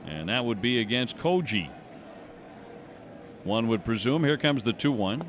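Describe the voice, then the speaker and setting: A man's voice: medium pitch, unhurried. A man calling live baseball play-by-play on the radio in descriptive, clipped phrases that follow the action. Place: ballpark broadcast position. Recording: noisy outdoor stadium ambience. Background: steady outdoor stadium noise.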